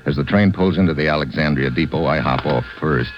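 Really deep hardboiled american detective, terse n wry, old radio noir with music behind. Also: male voice, fairly quick, dull audio. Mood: narrating, tense. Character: hardboiled, brisk American adventurer-investigator. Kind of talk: 1950s radio noir acting, first-person narration.

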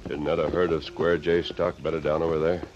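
Old radio Western lead: honorable masked lawman type, deep, steady authority, dull hissy vintage sound. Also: fairly quick. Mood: commanding. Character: masked Western hero, calm, commanding, honorable man. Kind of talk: old-time radio drama acting. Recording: muffled vintage radio recording with noise.

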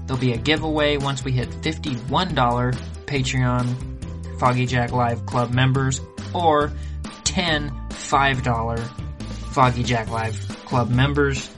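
A show host making a casual, informal promotional pitch for a listener club.

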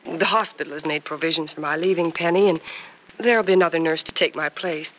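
An actress playing a kindly, sensible nurse and old friend in an old radio soap opera, reassuring and gently probing. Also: female voice, low voice, fairly even intonation, fast talker. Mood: assured. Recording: noisy vintage radio drama recording.

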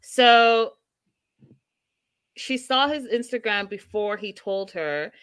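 A woman's voice: on the high side, expressive, slow pace, a bit bright, smooth, no echo. A woman hosting a casual live discussion, thinking aloud with many fillers and restarts. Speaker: host.

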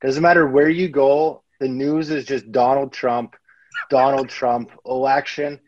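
A man's voice: mid-range voice, little pitch movement, a little slow, dry recording, dull audio. A man joining a casual podcast as a guest, speaking in an easygoing, laid-back way.

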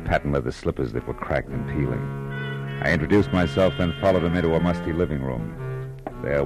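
An actor playing a wry, tough private detective in an old radio noir, with clipped, slangy delivery. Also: male voice, rumbling low. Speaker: narrator and lead. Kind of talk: 1940s radio noir narration and dialogue. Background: music.

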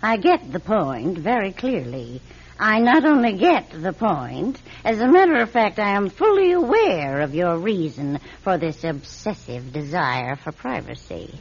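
An actress playing a nosy, prim, stubborn spinster neighbour in a radio drama, with fussy, affectedly genteel manners. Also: female voice, lowish voice, very animated, unhurried, slightly rough, heavily acted. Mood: smug, knowing. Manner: fussy, mannered, flustered stammer giving way to pointed formality. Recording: dull, lo-fi radio drama recording.

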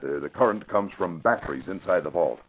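A man's voice: low voice, animated, brisk, a little hiss or hum. A man playing an earnest, authoritative American police commissioner in a classic radio drama. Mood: grave, urgent. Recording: muffled, lo-fi vintage radio recording.

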